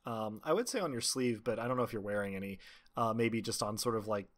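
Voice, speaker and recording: A man narrating a tabletop game as game master, improvising with frequent pauses. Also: on the low side.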